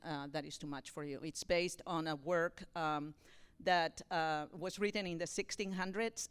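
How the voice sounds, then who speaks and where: Clear-voiced woman addressing a theater audience. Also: on the low side. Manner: explanatory, addressing the room directly. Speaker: theatre director introducing a staged reading. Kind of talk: informal spoken introduction to an audience. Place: theater, speaking to a live audience.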